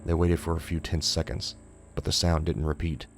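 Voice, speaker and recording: Very deep male horror narrator. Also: little pitch movement, moderate pace, faint music behind. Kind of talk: scripted narration, suspense fiction.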